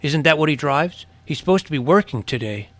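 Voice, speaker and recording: An actor playing an eager, suspicious, jumpy twelve-year-old boy vampire hunter in an audio drama, with a creaky edge to the voice. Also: fairly high pitch, lively intonation, brisk, fairly bright tone, no room sound. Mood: watchful, chatty.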